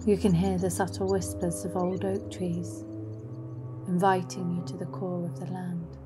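A woman narrating a scripted guided meditation in a deliberate storytelling manner. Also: fairly low pitch, little pitch movement. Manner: slow, deliberate storytelling, at times dropping into whispers. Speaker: guide of a meditative sound journey. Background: music.

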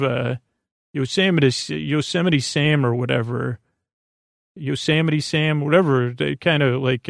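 A man with a creaky voice hosting a bedtime podcast, meandering gently and hesitantly, often interrupting himself in a soothing way. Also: medium pitch, dry recording, clean studio sound. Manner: soothing, gentle, soft-spoken. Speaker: podcast host. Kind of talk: rambling bedtime podcast monologue.

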